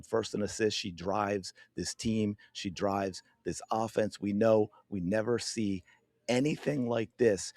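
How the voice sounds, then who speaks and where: Male sports podcast host, slightly low voice, hoarse, emphatic, dry close mic. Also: dry recording. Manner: emphatic, animated, addresses viewers directly. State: losing his voice. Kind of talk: opinionated sports commentary.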